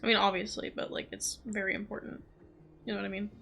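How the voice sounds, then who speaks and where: A woman's voice: mid-range voice, level tone, fast talker, creaky, pushed and bright, a little hiss or hum. A woman doing casual live commentary as a game streamer, thinking aloud and reading out text.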